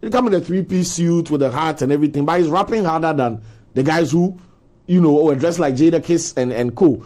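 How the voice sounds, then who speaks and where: A man's voice: on the high side, fairly quick, darkish tone. A man with a Ghanaian accent speaking as a panelist in an opinionated discussion, explaining his points emphatically. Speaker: panelist on a culture talk show.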